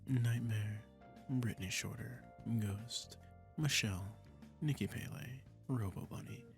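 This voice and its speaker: A man's voice: lowish voice, unhurried, vocal fry, soft background music. A man speaking as an audio creator, reading thanks to listeners and patrons in a scripted sign-off.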